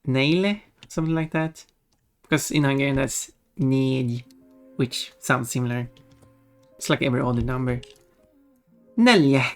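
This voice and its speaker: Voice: mid-range voice, moderate pace, long pauses, smooth. A streamer chatting casually in a rambling, self-correcting way with giggly asides. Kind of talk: casual livestream chat. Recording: music behind the voice. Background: background music.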